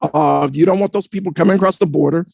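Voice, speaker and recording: A man speaking as a panelist, giving reflective commentary in a deliberate, articulate way with formal wording. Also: fairly high pitch, vocal fry, darkish tone, no echo.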